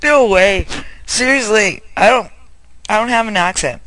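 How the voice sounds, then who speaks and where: Casting: high, bright man with a Northern US accent, giggly, unhurried interviewer. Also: no echo, poor audio. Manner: giggly, laughs often. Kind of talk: casual interview chat.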